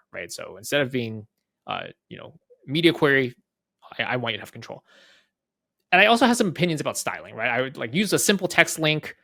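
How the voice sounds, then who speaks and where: Fast-talking man giving a casual demo. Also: mid-range voice, sharp tone, dry recording, studio quality. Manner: conversational, often checks in with tag questions and acts out short exchanges. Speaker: guest product leader giving a software demo. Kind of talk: casual off-the-cuff product walkthrough.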